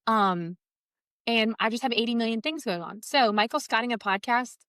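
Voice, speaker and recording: A woman's voice: a little high, moderate pace, a bit bright, no echo, studio quality. Nasal woman co-hosting a podcast. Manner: chatty, joking, self-mocking. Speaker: podcast co-host. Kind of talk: casual podcast chat.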